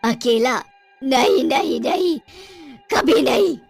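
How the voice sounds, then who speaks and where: A woman voicing a vengeful, gleeful young witch ghost in a story cartoon, taunting with drawn-out words and cackles. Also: sits high, expressive, creaky, husky, soft background music, full character voice. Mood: furious refusal.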